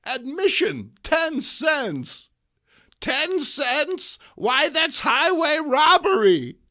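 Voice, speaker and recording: Man putting on a shrill, feisty little old lady. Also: big pitch swings, extremely slow, pushed and bright, exaggerated voice. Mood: indignant. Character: sweet old aunt, indignant feisty elderly woman. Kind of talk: comic book dramatic reading.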